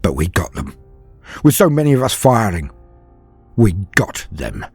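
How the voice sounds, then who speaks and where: A man playing a frightened, haunted far-future soldier, telling his horror story in a dramatic first-person monologue with brooding pauses and shifts from hushed to emphatic. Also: fairly low pitch, wildly swooping pitch, vocal fry, slightly rough, no echo, faint music behind, heavily acted. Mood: grim, intense. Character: frightened, haunted far-future soldier, former factory worker, recalling terrors.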